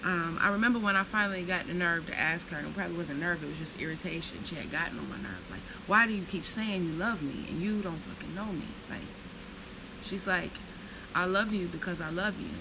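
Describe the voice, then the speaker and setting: A woman in her thirties reads slowly and reflectively from a book, then slips into blunt, profane, casual storytelling. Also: lowish voice, creaky, noisy background. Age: 30s. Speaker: vlogger reading from a book.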